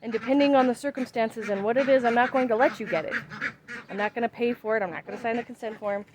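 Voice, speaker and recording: A woman's voice: fairly high pitch, fast talker, background noise. A woman in her thirties chatting casually in a vlog, rambling and emphatic as she works outdoors in her backyard. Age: 30s. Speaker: vlogger.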